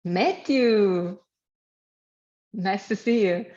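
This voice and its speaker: A woman with an Italian accent, a native Italian speaker, hosting an online webinar in halting speech with many fillers and light jokes. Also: medium pitch, darkish tone. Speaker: webinar host and program manager. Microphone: video call. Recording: lo-fi video call audio.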